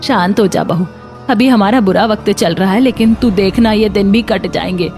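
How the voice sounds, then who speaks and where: Woman as a caring older mother-in-law, mellow. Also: medium pitch, moderate pace, soft background music, lightly acted. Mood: concerned, soothing. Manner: soothing, advising. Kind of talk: children's cartoon story.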